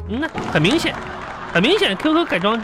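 Boastful, swooping Northeastern Chinese husband. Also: male voice, very high-pitched, sing-song, fairly quick, crowd noise. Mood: boastful, bluffing. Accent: Northeastern Chinese Mandarin. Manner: folksy, stammering, wisecracking. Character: teasing, boastful, bumbling middle-aged working-class husband. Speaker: radio comedy actor and host. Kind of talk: live comedic radio drama dialogue. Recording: studio broadcast with music bed. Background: music.

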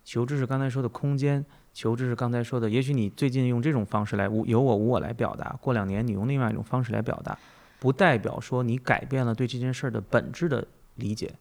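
A man's voice: mid-range voice, steady tone, quick pace, creaky, a little mellow. A man hosting a reflective conversational interview, speaking emphatically and repeating phrases to drive points home. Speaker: podcast host and teacher.

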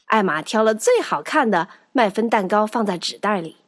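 A woman narrating a children's audio drama in an expressive storytelling manner, with music behind her. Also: medium pitch, moderate pace, no room sound, studio quality.

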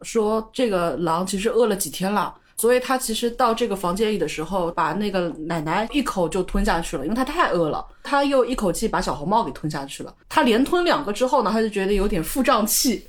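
A woman's voice: medium pitch, lively intonation, fairly quick, few pauses. A woman co-hosting a talk show, chatting casually and retelling things in everyday spoken language. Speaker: podcast co-host. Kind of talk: casual chat with anecdotes and retelling.

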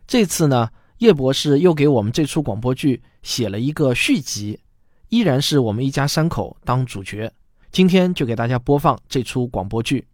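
A man's voice: medium pitch, lively intonation, moderate pace, darkish tone, dry recording, studio quality. A man giving a spoken introduction as presenter of a radio drama.

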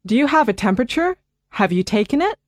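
A woman voicing a calm, reassuring family doctor in a scripted listening dialogue. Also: fairly high pitch, no room sound, clean studio sound. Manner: clear, measured, reassuring delivery.

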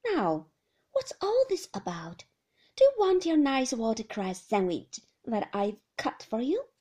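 A woman's voice: fairly high pitch, very animated, a little slow, dark tone, slightly rough, exaggerated voice. A woman voicing a flustered nurse who speaks in a soothing, apologetic, fussing way.

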